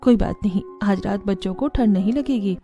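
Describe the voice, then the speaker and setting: A woman's voice: mid-range voice, brisk, warm tone, highs cut off, faint music behind, lightly acted. A woman voicing a resolute, caring young village mother in a Hindi cartoon story, earnest and plain-spoken. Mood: reassuring.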